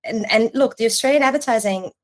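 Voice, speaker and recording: A woman in her forties, from Iran, telling her story as a podcast guest, lively and quick to restart her sentences. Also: on the high side. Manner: animated storytelling with restarts and casual swearing. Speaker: podcast guest, agency creative chief. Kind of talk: casual interview chat.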